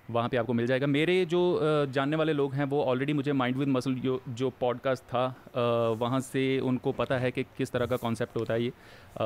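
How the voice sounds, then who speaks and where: A man co-hosting a casual podcast chat, joking around and mixing Hindi with English, with "uh" pauses as he explains. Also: medium pitch, clear, resonant tone. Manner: explains plainly with filler "uh" pauses. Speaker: podcast co-host.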